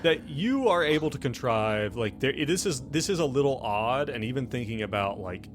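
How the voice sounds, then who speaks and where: A man improvising tabletop game narration as game master, conversational and halting, often repeating himself. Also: medium pitch, animated, faint music behind.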